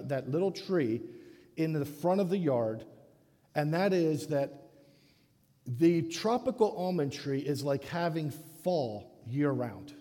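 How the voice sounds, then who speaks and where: A man's voice: fairly high pitch, mellow. Hesitant preacher man in an echoey hall. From Dominican Republic. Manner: halting, many restarts. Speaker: guest preacher. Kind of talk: sermon. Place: church sanctuary.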